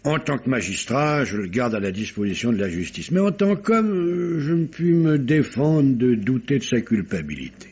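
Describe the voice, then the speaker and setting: An actor playing a courteous, fair-minded nineteenth-century examining magistrate in a French radio drama, laying out facts formally with dramatic emphasis in a husky voice. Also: male voice, medium pitch, sing-song, moderate pace, few pauses, darkish tone. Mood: grave, earnest. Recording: dull, lo-fi old radio recording.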